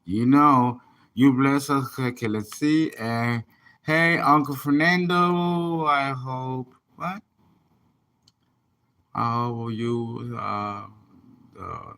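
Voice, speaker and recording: A man's voice: medium pitch, lively intonation, takes it slowly, creaky, a bit bright. A man, an astrologer and tarot reader, chatting casually on a livestream and rambling as he reads viewer comments aloud.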